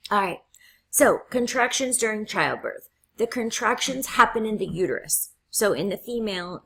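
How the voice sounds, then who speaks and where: A woman instructor walks her students through a lecture step by step, often checking in with "right?". Her voice is husky and gravelly, with the fry of someone losing her voice. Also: on the low side, fairly bright tone, low-quality recording. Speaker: college instructor. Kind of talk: classroom lecture.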